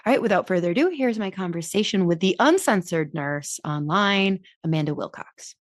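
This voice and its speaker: A woman's voice: fairly low pitch, expressive, moderate pace, no room sound, clean studio sound. A woman in her thirties from Southern California hosting a podcast, chatting casually with frequent laughs and fillers. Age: 30s. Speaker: podcast host. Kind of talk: casual conversational interview.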